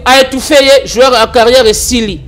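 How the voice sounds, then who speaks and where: A man with a Congolese accent, an animated and argumentative political commentator on a talk show. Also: very high voice, normal speed. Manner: animated, argumentative, mixes French and Lingala.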